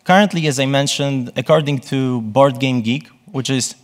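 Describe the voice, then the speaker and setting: Halting male presenter. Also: medium pitch. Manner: halting, self-correcting delivery. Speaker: conference speaker. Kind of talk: conference presentation. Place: on stage in a conference hall.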